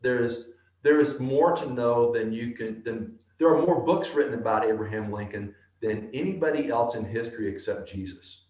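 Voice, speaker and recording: A male actor with a deep bass voice, answering interview questions slowly and thoughtfully, with many pauses and restarts. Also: darkish tone, roomy sound, dull audio. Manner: slow, thoughtful, halting.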